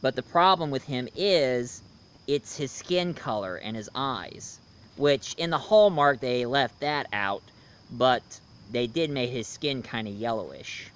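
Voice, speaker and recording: A man in his thirties giving a casual, unscripted review as the host, haltingly, often searching for words and repeating himself. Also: mid-range voice, animated, a bit bright, smooth.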